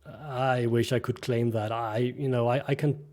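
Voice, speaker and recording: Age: middle-aged. Sex: man. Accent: Danish. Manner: halting, restarts often, searches for words. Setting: video call from a bright home room. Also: mid-range voice, fairly even intonation. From Denmark. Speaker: guest. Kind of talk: casual interview chat.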